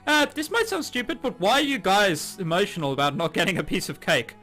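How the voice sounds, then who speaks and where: A man's voice: high voice, very animated, fairly quick, bright, forceful tone, faint music behind, heavily acted. A man voicing a sceptical, bemused college girl in a visual novel, with a doubtful, matter-of-fact manner.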